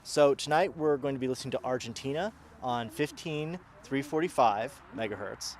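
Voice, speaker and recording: A man's voice: mid-range voice, normal speed. Man demonstrating gear outdoors, noisy background. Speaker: presenter and drone operator of a radio experiment. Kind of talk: casual demonstration, narrating live. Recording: outdoor recording with clear background noise.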